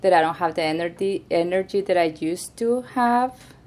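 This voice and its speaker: Low-voiced woman in her forties. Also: normal speed, a little mellow. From Mexico. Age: 40s. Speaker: registered nurse.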